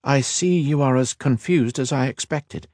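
A man voicing a gentle, calm elf woman in a fantasy audiobook, speaking softly in formal, deliberate phrases. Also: on the high side, no room sound, studio quality, voice pushed up. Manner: soft-spoken, deliberate, formal.

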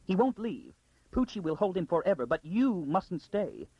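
A man's voice: high-pitched, sing-song, normal speed, slightly rough, dry recording, lo-fi sound, voice pushed up, heavily acted. A man voicing a frightened, frantic noblewoman in an audiobook, with an urgent, wailing manner. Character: frantic, frightened noblewoman urging a girl to flee.

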